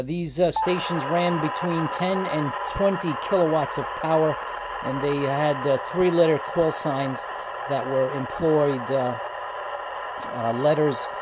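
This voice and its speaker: A man hosting a ham radio channel, explaining in a casual, halting way with many filler sounds. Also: on the high side, very noisy.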